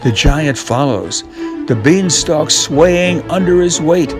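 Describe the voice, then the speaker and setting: Slow-paced older male storyteller. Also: a little high, animated, music in the background. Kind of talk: scripted children's story narration.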